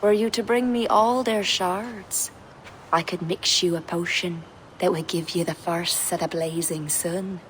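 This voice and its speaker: Actress as a low-voiced mystic healer, solemn and hushed. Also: female voice, steady tone, a little slow, a little hiss or hum, close to an everyday voice, poor audio. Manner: hushed, solemn, often whispering. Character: mysterious woman healer of old lore.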